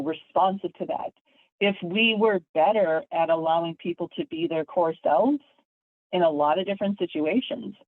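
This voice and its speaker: A woman's voice: on the low side, dry recording. Woman professor on a tinny call line. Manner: lecturing, thoughtful, repeats words while building a point. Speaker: guest, communication professor. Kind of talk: explanatory interview talk. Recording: tinny phone-like line, dry.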